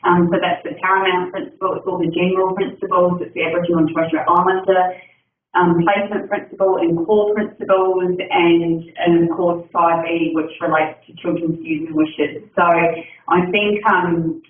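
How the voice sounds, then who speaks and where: A woman's voice: fairly low pitch, flat delivery, normal speed, far from the mic. A woman with a New Zealand accent hosts an online information session, chatty and encouraging, with frequent ums. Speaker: session host. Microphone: video call. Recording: tinny, echoey video call from off-mic.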